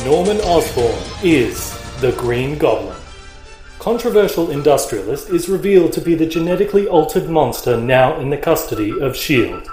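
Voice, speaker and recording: A man playing a serious news reporter, reading scripted narration in a measured, formal way for a comic-book drama. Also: on the high side, expressive, a little mellow, lightly acted. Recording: roomy echo, faint music behind.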